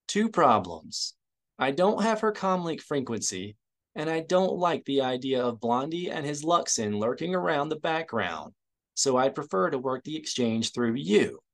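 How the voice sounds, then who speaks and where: A man's voice: fairly high pitch, very animated, measured pace. A man voicing a brash, shrewd woman hustler in an audiobook, cocky and wry as she bargains. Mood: businesslike.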